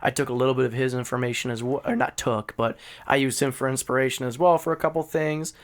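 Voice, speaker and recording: Male podcast co-host, average pitch, loose comedic banter, swears freely. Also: steady tone, fast. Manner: profane, joking.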